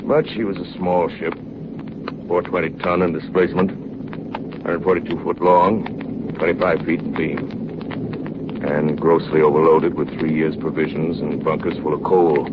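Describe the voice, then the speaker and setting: Elderly navy chief engineer, low-voiced, plainspoken, looking back; vintage radio drama, heavy hiss. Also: male voice, fairly even intonation, a little slow, pauses often. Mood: recounting. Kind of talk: 1930s radio drama narration. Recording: muffled, noisy 1930s radio recording.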